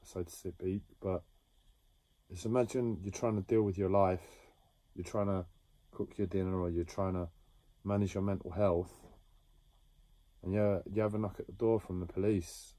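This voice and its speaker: Man, deep dark voice, halting reflective solo talk, dry. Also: fairly even intonation, dry recording. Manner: halting, with frequent pauses and restarts. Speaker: livestreamer sharing a personal story. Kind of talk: slow reflective monologue. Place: home.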